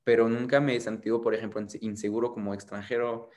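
A man's voice: mid-range voice, level tone, quick pace, a little mellow. A young French man speaking as an interview guest, rambling and hesitant with many restarts. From France. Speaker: interview guest, content creator. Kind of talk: casual conversational interview.

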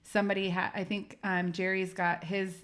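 A woman's voice: medium pitch, flat delivery, moderate pace, a bit bright. A woman co-hosting a podcast in casual chat, explaining things at length with frequent restarts. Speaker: podcast co-host.

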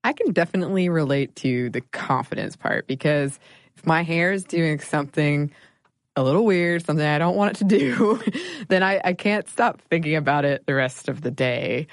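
A woman's voice: deep voice, animated, normal speed, dry recording, clean studio sound. A woman reading a scripted ad in a chatty testimonial tone. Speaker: ad spokesperson. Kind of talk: scripted ad read.

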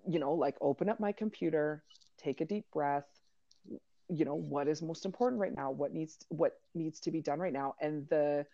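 A woman hosts a relaxed mindfulness chat, her voice gravelly and full of fry. She rambles, circles back and restarts her thoughts. The dry, slightly muffled recording sits close to the mic. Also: deep voice, moderate pace, no echo. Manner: rambling, many fillers and restarts. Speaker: podcast host, coach to entrepreneurs. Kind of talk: casual conversation on mindfulness.

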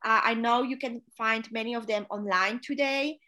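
A woman's voice: a little high, moderate pace, pushed and bright. A woman from Poland with a Polish accent, a non-native English speaker, giving earnest, direct career advice as an interview guest, with frequent filler sounds. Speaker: chief stewardess, interview guest.

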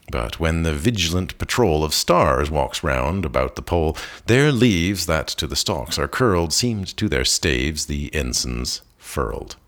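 Very deep-voiced man reading poetry slowly. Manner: measured, expressive, with occasional restarts. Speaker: poetry reader. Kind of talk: slow dramatic poetry reading.

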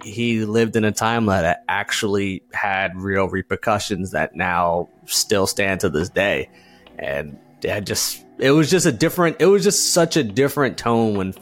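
A young man with a fairly low voice talks casually on a podcast. His speech is slangy and conversational, and he retells moments by slipping into quoted reactions. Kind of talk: casual fan chat.

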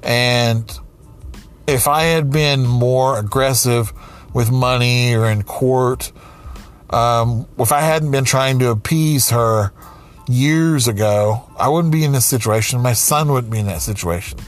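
A man around forty speaking slowly and candidly, pausing and restarting as he thinks aloud. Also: medium pitch, faint music behind. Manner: slow, halting, with many restarts and pauses. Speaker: aspiring writer, comedian and podcaster. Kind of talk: reflective personal monologue.

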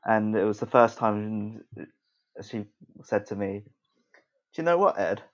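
A man's voice: fairly low pitch, expressive, a little slow. Slightly muffled man hosting a casual chat. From Chinese heritage with Hong Kong ties. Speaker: podcast host. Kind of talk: casual conversational interview. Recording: slightly dull, lo-fi sound.

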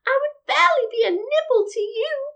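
A woman's voice: moderate pace, gravelly, a bit bright, exaggerated voice. A woman voicing a tiny, timid young billy goat for a storybook, in a small, pleading voice. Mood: scared pleading. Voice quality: small, squeaky voice.

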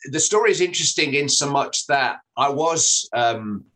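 Middle-aged man from England, football commentator, anecdotal, self-deprecating, medium-pitched voice. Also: animated, normal speed, sharp tone. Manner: chatty storyteller, frequent restarts and self-deprecating laughs. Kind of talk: relaxed anecdotal interview talk.

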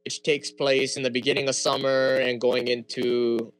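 Hawaiian man in relaxed chat. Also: mid-range voice, moderate pace, faint music behind, low-quality recording. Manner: relaxed, frequent fillers and restarts. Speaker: guest. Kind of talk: casual interview chat.

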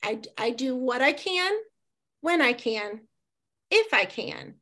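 A middle-aged woman, a chatty and expressive podcast guest and author, talking in a casual conversation. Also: sits high, slow pace.

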